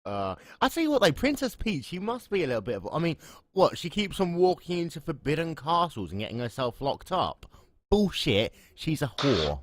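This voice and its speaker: A man's voice: fairly high pitch, huge pitch swings, normal speed. A young man from England with an English accent, co-hosting a podcast in crude, joking casual chat. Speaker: podcast co-host.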